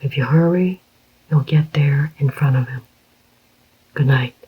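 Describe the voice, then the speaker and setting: A reader whispering the part of a calm, scheming male club owner in a slow, even voice. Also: medium pitch, slightly rough. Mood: curt. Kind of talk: whispered audiobook reading. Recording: dull lo-fi recording.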